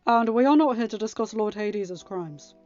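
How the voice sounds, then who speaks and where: A woman's voice: medium pitch, dark tone, no room sound, faint music behind, natural voice. Woman acting an earnest, formal young king, slightly muffled recording. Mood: firm. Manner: formal, measured.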